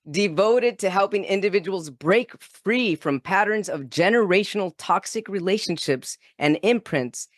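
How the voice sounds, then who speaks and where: A man's voice: high-pitched, no echo, studio quality. A man hosting a podcast, effusive and enthusiastic, repeating questions and praising his guest. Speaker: podcast host. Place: remote, in California.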